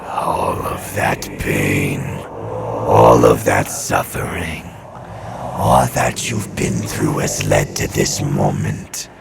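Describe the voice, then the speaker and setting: A man playing a cruel, menacing faceless demon in a horror audio drama, deep and gravelly, gloating over every word. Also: big pitch swings, extremely slow, heavy background noise, heavily acted. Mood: cruel taunting.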